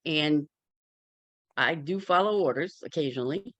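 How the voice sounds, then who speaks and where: A woman's voice: low voice, normal speed, dry recording, muffled recording. A woman chatting casually in a group as an author, with a candid, wry way of talking. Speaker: memoir author in a community discussion.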